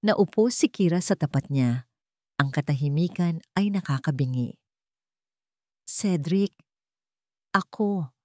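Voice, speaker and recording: A woman's voice: low voice, animated, moderate pace, a little mellow, no room sound, clean studio sound. A woman narrating a scripted romantic drama as a storyteller.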